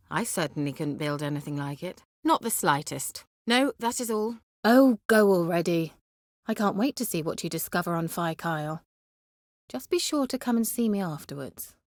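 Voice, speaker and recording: An actress voicing a haughty, witty, sly sorceress in a video game, with an arch, formal and sardonic way of talking. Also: female voice, medium pitch, dry recording, clean studio sound, lightly acted. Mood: playful, arch. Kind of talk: video game character dialogue.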